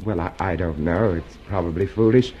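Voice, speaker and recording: An actor playing a nervous, deferential party clerk in a radio drama, speaking with anxious care. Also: male voice, low-pitched, moderate pace, mellow, breathy edge, muffled recording.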